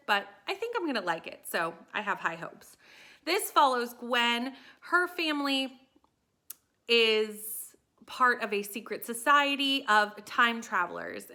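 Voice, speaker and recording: A woman's voice: mid-range voice, bright, forceful tone. A woman talking casually and enthusiastically to camera as a book vlogger, with emphatic delivery.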